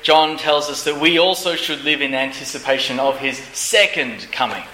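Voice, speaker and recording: A hoarse man preaching a Bible study in short phrases, pausing often so an interpreter can follow. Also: on the high side, bright, forceful tone. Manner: pauses often for translation, earnest. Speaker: pastor. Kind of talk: sermon with an interpreter. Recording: echoey room.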